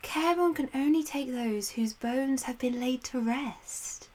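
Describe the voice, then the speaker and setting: A woman playing a breezy female seer guide who shrugs off the underworld with casual slang. Her voice sits a little high and light, easygoing and cheerful. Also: sing-song, unhurried. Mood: matter-of-fact.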